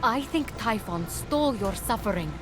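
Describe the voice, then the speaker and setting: Woman playing high-voiced plucky young heroine. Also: expressive, moderate pace, natural voice. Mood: gentle, sympathetic. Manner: conversational, earnest, explanatory. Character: earnest, plucky young female mortal hero. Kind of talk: video game dialogue acting. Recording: music under voice. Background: game score.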